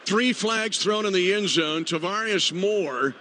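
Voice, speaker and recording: High-voiced man calling football over stadium noise. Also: animated, a little slow. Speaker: play-by-play radio announcer. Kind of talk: live football play-by-play commentary. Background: loud stadium ambience.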